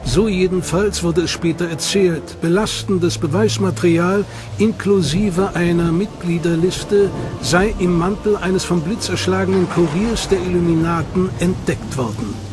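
A man narrating a documentary as a scripted, measured, dramatic storyteller. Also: sits high. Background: music.

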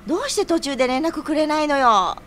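A woman's voice: high voice, expressive, brisk, fairly bright tone. A woman playing an impatient, bossy but caring friend in an audio drama, pouting and exclaiming. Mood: scolding.

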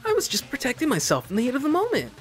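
A man's voice: very high voice, wildly swooping pitch, rapid-fire, soft background music, voice pushed up, full character voice. A man voicing a panicked, defensive young male culprit in a video game, blurting out pleas. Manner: pleading, blurting.

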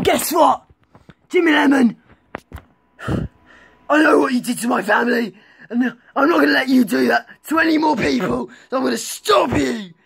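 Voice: mid-range voice, creaky, breathy edge. A performer with a British accent voicing a power-mad, mocking villain who rambles and keeps adding tag questions. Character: boastful taunting villain, power-mad and cocky. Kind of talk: amateur villain role-play.

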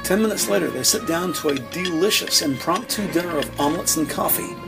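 A male narrator delivers scripted audio drama description. His voice sits a little high for a man and has plenty of creak, with music clearly underneath. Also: animated, brisk.

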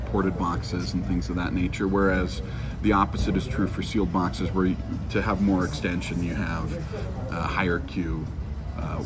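Guy in his 30s with a lowish voice, technical explainer, thinks out loud in stops and starts, music behind him. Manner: halting, thinking aloud. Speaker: loudspeaker engineer being interviewed. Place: outdoors under a covered walkway.

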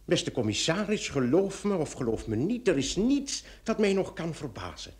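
A man playing an excitable, wry police commissioner in an old Dutch radio drama, with a theatrical delivery. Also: on the high side, normal speed. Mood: wry, amused.

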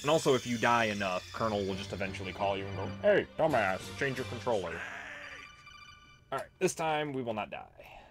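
A man's voice: on the low side, lively intonation, brisk. A man giving casual, swear-prone gameplay commentary as the host of a let's play. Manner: profane, exasperated when struggling. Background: video game music.

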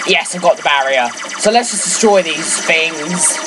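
A teenage girl whose first language is French talks through a video game in a chatty, rambling way, now and then breaking into excited shouts. Her voice sits a little low for a girl. Loud game music plays underneath her the whole time. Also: normal speed, low-quality recording. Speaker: let's play gamer. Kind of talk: casual game commentary.

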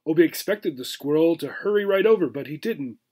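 Male teacher-reader, slightly high pitch, narrating a children's story expressively. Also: expressive, fairly quick, mellow, husky. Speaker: teacher reading a children's book aloud. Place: small furnished room indoors.

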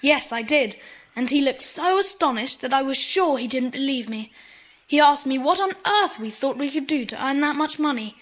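A woman's voice: high-pitched, animated, normal speed, a bit bright, poor audio. A woman playing an earnest, polite British schoolboy in a children's audio drama. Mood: earnest, eager.

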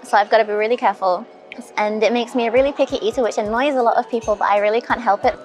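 A woman's voice: on the high side, fairly even intonation, racing, darkish tone. A woman in her twenties from the Caribbean and England, chatting casually and candidly as an interview guest over a meal. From Caribbean, later England. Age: 20s. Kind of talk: casual chat over afternoon tea. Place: spacious hotel lounge restaurant, indoors. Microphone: small recorder or phone close across the table.

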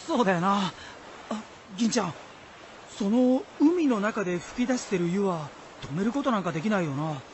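A simple, easily impressed villager from an old folktale, played by a woman on radio. The rustic speech is hesitant and questioning, with wide swings of pitch. Faint noise lies behind it. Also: expressive, moderate pace, a little mellow, close to an everyday voice. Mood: dismayed. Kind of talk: radio drama acting of a folktale.

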